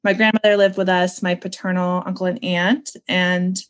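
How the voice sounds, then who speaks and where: A woman's voice: fairly low pitch, flat delivery, moderate pace. A woman in her thirties from Pakistan and Northern California, talking casually as an interview guest. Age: 30s. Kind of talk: casual podcast conversation.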